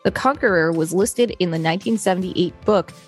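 A woman co-hosting a podcast, reading an article aloud in a plain, measured way. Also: lowish voice, soft background music. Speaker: podcast co-host.

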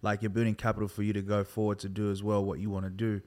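A laid-back Australian man in his thirties speaks in a low, steady voice that barely rises or falls. He talks loosely and at length, circling his point with plenty of "like" and "bro", as a guest in an easygoing conversation. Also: fast. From Sydney, Australia. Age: 30s. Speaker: guest, hip hop artist. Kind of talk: casual conversation.

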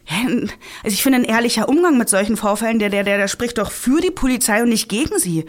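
An actress playing an earnest, principled policewoman in an audio drama, halting but insistent as she speaks. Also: on the high side, lively intonation, close to an everyday voice. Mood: insistent, uneasy. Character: earnest, principled policewoman standing her ground. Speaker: police officer. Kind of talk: naturalistic audio drama dialogue.

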